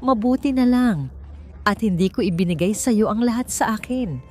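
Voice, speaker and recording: A woman's voice: a little high, animated, normal speed, a little mellow, voice pushed up. Casting: female narrator as betrayed young woman, emotional and proud, audio romance drama, music underneath. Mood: hurt, resolute.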